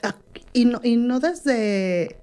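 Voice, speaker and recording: A woman's voice: a little high, slow pace, dark tone, soft background music. A middle-aged woman with a Mexican accent, talking casually and reflectively in an interview. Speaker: psychologist on a talk show.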